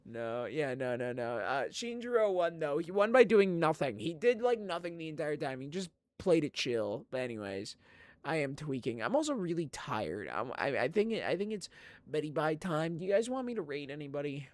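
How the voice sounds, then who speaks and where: A tired young man streaming, chatting loosely with viewers and reading chat aloud, his strained throat a little raspy. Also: on the high side, very animated, normal speed, dry recording. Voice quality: slightly raspy. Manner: rambling, giggly, reads chat aloud. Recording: music playing behind voice.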